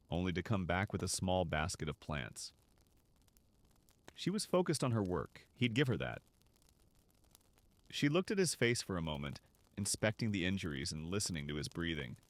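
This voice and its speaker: Brief: male narrator for dramatic fiction, low voice with lively rise and fall, expressive scripted read. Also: moderate pace, long pauses. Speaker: narrator and voice actor.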